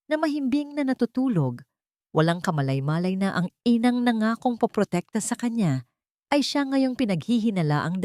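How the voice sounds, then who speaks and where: A woman's voice: lowish voice, darkish tone, no echo, studio quality. A woman storyteller reading a scripted, dramatic story narration.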